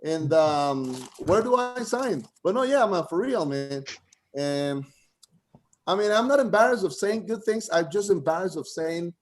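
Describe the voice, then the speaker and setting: A man from Costa Rica with a Costa Rican Spanish accent and Spanish as his first language, doing a halting first stand-up set over a video call and often restarting his sentences. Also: high-pitched, lively intonation. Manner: halting, frequent restarts, keeps saying "man". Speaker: first-time open mic comedian.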